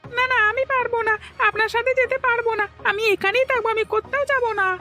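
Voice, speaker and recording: A woman's voice: very high voice, fairly even intonation, fast talker, pushed and bright, faint music behind. A woman voicing an outspoken, self-interested young village boy in a melodramatic cartoon. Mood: defiant.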